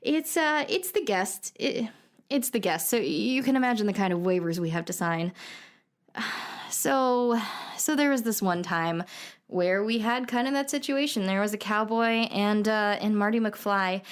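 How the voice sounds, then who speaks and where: Woman, medium pitch, casual storyteller, rambling and hesitant delivery. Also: measured pace, clear, resonant tone. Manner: hesitant, meandering, conversational.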